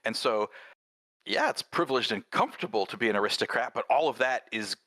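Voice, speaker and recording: Bright-voiced man chatting in a dry space. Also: mid-range voice, expressive, a little fast, no room sound. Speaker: game creator discussing his project. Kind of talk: casual discussion.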